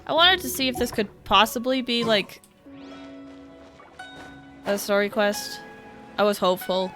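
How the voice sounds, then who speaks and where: A woman's voice: medium pitch, a little slow. A woman game streamer giving casual, chatty live commentary with trailing-off asides. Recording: soft game music behind.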